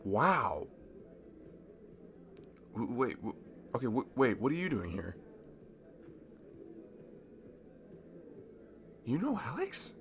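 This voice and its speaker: A man's voice: medium pitch, a little hiss or hum, pitched above the performer's own voice. A man playing a friendly, easily flustered college-age guy in a naturalistic ASMR roleplay, hesitant and self-deprecating. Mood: surprised, flustered. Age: young adult.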